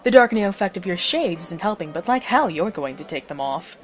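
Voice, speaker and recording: A woman's voice: on the low side, expressive, fairly quick, soft background music. A woman narrating a scripted story as the narrator of an audio drama.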